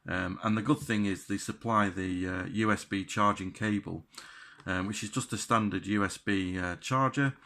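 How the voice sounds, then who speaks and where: A man giving a casual, unscripted product review in a halting but enthusiastic way. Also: on the low side, fairly even intonation, gravelly, pushed and bright. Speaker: radio gear reviewer.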